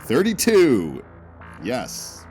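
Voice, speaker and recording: A man's voice: medium pitch, huge pitch swings, extremely slow, darkish tone, soft background music. A man playing a tabletop game, chatting casually about his moves.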